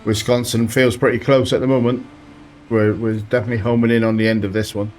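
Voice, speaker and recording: Low, flat-voiced man narrating gameplay. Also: fast talker, soft background music. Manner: relaxed, thinking aloud while driving. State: slight sore throat. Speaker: let's play host. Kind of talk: casual gameplay commentary.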